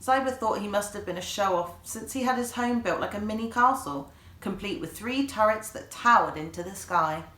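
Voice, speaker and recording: A woman reading a children's story aloud in an animated way, like an author presenting the book. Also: medium pitch, moderate pace. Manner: animated, acts out dialogue. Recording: echoey room.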